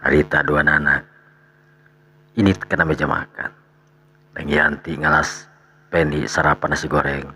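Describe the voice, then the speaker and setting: Very deep, gravelly male storyteller. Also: flat delivery, a little slow, pauses often, bright, forceful tone. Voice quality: gravelly, husky. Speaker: storyteller, narrator. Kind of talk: unhurried dramatic radio storytelling.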